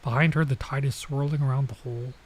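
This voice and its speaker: A man's voice: mid-range voice, fairly even intonation, fast talker, a little hiss or hum. A man doing a let's play, reading visual novel text aloud and adding casual, off-the-cuff comments, sometimes stumbling over lines.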